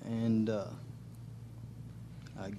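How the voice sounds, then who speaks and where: A man's voice: lowish voice, little pitch movement, drawn-out words, mellow, lo-fi sound, slight background noise. A man giving an informal missionary testimony in church, speaking hesitantly in short broken phrases.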